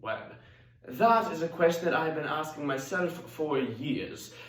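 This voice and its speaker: A man's voice: fairly high pitch, lively intonation, recorded from a distance, a little hiss or hum, voice pushed up. A young man playing a flamboyant, wry East German woman cabaret singer in a theatrical, coy stage monologue. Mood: sardonic, coy. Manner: theatrical, coy, drawn-out pauses. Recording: echoey room.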